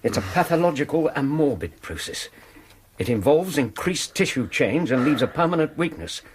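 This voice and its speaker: An actor playing an earnest, easily indignant Victorian gentleman and loyal chronicler, formal and reproving, with a British accent in a radio drama. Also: on the high side, big pitch swings, normal speed, slight background noise. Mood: disapproving.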